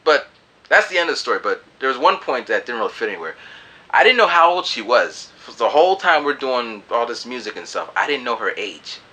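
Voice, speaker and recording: A man in his twenties telling a loose, animated story to camera in a small room. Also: mid-range voice, fairly quick, slightly rough. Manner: animated, loose storyteller who acts out voices. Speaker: vlogger. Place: small hot room indoors.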